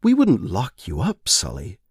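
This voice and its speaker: A man voicing a cool, amused woman handler, deliberate, condescending and menacing, in an audio story. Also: fairly low pitch, huge pitch swings, darkish tone, slightly rough, dry recording, clean studio sound, heavily acted. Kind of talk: scripted short-story character voice.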